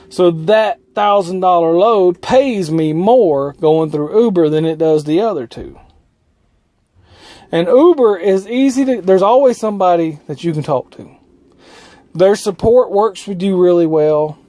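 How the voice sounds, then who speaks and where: High-pitched folksy trucker man, mellow tone. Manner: folksy, colloquial. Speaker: truck driver and vlogger. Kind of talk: casual explainer vlog.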